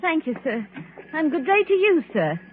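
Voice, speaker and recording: A woman's voice: high-pitched, big pitch swings, normal speed. An actress playing a chatty, easily frightened working-class English woman tobacconist in an old-time radio drama. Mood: chatty, deferential. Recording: tinny band-limited old radio recording.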